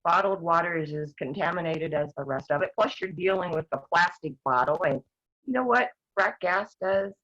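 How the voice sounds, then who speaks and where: An older woman talking earnestly as a guest, rambling with restarts, her voice going hoarse. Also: fairly low pitch, lively intonation, normal speed, fairly bright tone. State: losing her voice, clearing her throat. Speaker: guest activist. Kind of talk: casual talk-show discussion. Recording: dull lo-fi sound.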